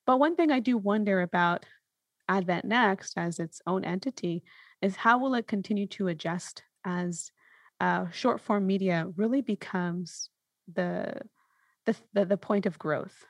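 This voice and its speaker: A woman's voice: mid-range voice, a little slow, no echo. A woman hosting a podcast, talking casually and reflectively, thinking through her points with lists and examples. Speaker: podcast host.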